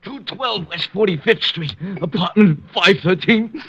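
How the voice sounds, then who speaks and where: An actor playing a wounded gangster crying out in panicked agony in an old radio melodrama. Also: male voice, sits high, measured pace, a bit bright. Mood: agonised, panicked. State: in pain, crying out. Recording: dull old radio broadcast.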